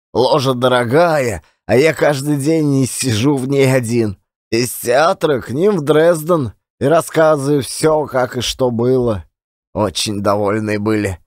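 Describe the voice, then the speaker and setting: A man's voice: mid-range voice, darkish tone, no room sound, studio quality, lightly acted. An actor playing a garrulous, self-deprecating, folksy old-time Russian storyteller in a one-man audio play. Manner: colloquial, rambling, folksy.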